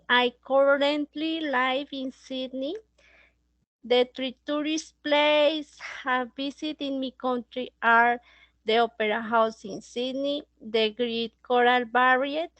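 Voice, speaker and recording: Woman in her 30s, high voice, reads her english class talk real slow, dry sound. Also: fairly even intonation, a bit bright, no room sound, dull audio. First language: Spanish. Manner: halting, reads slowly with fillers. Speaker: English learner. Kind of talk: reading a class presentation.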